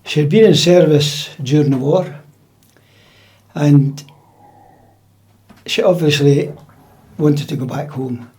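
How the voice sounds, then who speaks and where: An older man with a Scottish accent recalling his life in a measured, matter-of-fact conversational way. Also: on the high side, darkish tone. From central Scotland. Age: older, about 80. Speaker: interviewee, piping-band drummer. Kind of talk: conversational life-story recollection. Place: indoors at home.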